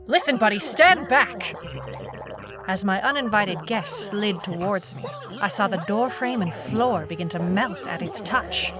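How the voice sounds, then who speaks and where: A woman's voice: mid-range voice, expressive, measured pace, over music, close to an everyday voice. A woman playing a wry, world-weary, tough private eye, narrating a hardboiled noir audio drama. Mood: alert, urgent. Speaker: lead narrator.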